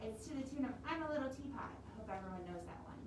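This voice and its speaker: A woman with a high voice talks to young children as a storytime librarian. She keeps her words simple and encouraging. She sounds a little way from the mic in an echoey room, with clear noise in the recording. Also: expressive, quick pace. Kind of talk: read-aloud and instructions for young children. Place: library room with wooden walls and windows, indoors. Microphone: camera a few feet away, speaking through cloth mask. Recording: roomy, distant mic with strong noise.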